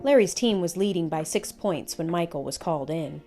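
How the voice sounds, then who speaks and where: Lady reading a kids book out loud, mellow, expressive, measured storyteller. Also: on the low side, faint music behind. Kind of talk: children's picture book read-aloud.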